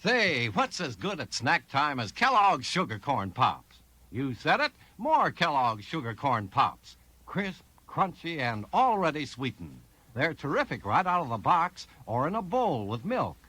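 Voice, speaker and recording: A man's voice: on the high side, wildly swooping pitch, a little slow, bright, forceful tone, breathy edge. A man giving an enthusiastic scripted commercial in 1950s radio style. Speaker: commercial pitchman. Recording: dry 1950s radio recording.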